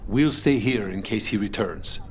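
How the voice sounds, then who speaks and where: An actor playing an urgent, stern assassin comrade in a video game. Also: male voice, lowish voice, normal speed, a little hiss or hum, close to an everyday voice. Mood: urgent, worried.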